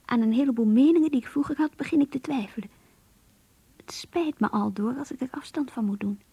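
An actress in a radio play voicing an earnest, introspective young woman who confides in her diary in an intimate, breathy voice. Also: sits high, brisk, dark tone, no room sound, full character voice. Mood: wistful, troubled. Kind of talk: radio drama diary monologue.

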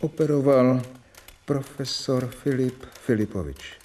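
A man playing a doctor who reads out a formal medical record in flat dictation in a radio play. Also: medium pitch, a little hiss or hum, lightly acted. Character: male doctor reading a dry medical record.

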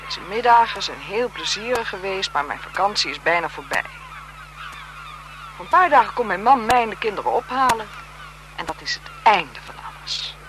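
Cool adulterous wife, played by an actress, dismissive and superior, lively intonation, dramatic radio-thriller style, noisy vintage broadcast sound. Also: female voice, mid-range voice, moderate pace, natural voice. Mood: firm, dismissive. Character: cool, haughty married woman, condescending to her young lover. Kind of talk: 1970s Dutch radio thriller acting.

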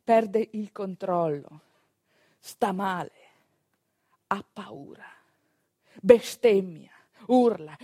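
An actress plays a female village storyteller, delivering a theatrical monologue. She is emphatic and dramatic, leaning on pauses and repetition. The sound is dry and close, and her voice sits at an average pitch for a woman. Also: warm tone, no room sound, lightly acted. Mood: tense. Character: female storyteller recalling a rural midwife's work. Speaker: stage actress narrating a monologue.

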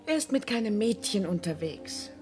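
A woman's voice: mid-range voice, lively intonation, moderate pace, over music, lightly acted. An actress playing a cool, unyielding middle-aged mother in a radio drama, composed, firm and dryly ironic.

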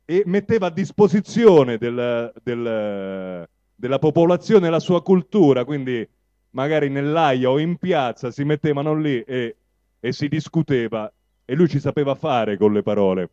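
A man speaking deliberately to present and recite poetry at a live reading. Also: medium pitch, expressive, mellow, no echo. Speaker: poetry reader at a public reading event. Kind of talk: spoken introduction and declaimed recitation of poetry. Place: live reading at a public venue. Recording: dull, lo-fi live event recording.

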